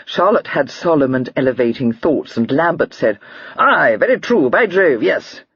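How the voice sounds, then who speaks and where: A woman reading a fond, teasing gentleman. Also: low voice, expressive, normal speed, slightly rough, dry recording, muffled recording, heavily acted.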